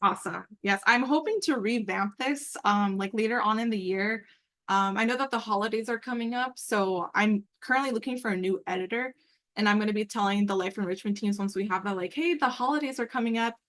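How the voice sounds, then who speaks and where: A woman's voice: medium pitch, a little fast, fairly bright tone. Woman presenting on a work call. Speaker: meeting presenter and program coordinator. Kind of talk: informal work call presentation with screen walkthrough. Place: online group call.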